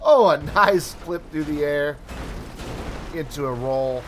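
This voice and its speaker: A man's voice: on the high side, slow pace, noisy background. A man talking casually over gameplay footage as a channel host, chatty and full of asides, his voice tired from hours of talking. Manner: chatty, rambling asides, self-deprecating.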